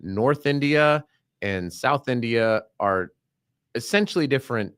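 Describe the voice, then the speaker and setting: A man's voice: medium pitch, lively intonation, unhurried, fairly bright tone, smooth, dry recording, clean studio sound. A man co-hosting a podcast, talking in a loose, rambling way with frequent restarts. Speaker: podcast co-host. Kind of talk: casual podcast chat.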